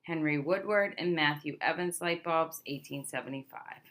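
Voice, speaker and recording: A woman reads a nonfiction picture book aloud to children. Her voice sits a little low but is clearly bright and forward. She tells it expressively, with emphatic stresses as if addressing young listeners directly. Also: moderate pace, a little hiss or hum. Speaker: read-aloud presenter.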